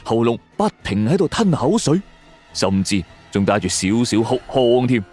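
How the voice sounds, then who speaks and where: A man's voice: medium pitch, very animated, normal speed, darkish tone. A man with a Hong Kong Cantonese accent narrating a detective story dramatically in the first person. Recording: background music under voice. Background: music.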